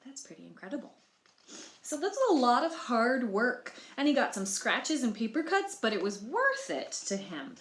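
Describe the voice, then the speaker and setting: A woman's voice: on the high side, big pitch swings, moderate pace, fairly bright tone. A woman reading a picture book aloud to children, expressively, with explanatory asides and questions for the listeners. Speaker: library storytime reader. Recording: roomy, echoey sound.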